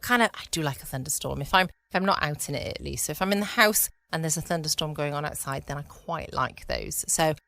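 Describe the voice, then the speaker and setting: A woman's voice: sits low, a little fast, creaky, hardly any pauses, pushed and bright. A woman with an English accent hosting a bedtime podcast in casual chat. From United Kingdom. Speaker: podcast host. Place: dry room at home.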